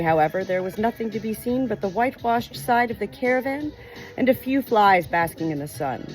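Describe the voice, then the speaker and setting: A woman narrates a storybook in a lowish voice that moves freely up and down. Her delivery is measured and expressive, with music playing along. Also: low-quality recording. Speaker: audiobook reader. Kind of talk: storybook narration, read aloud.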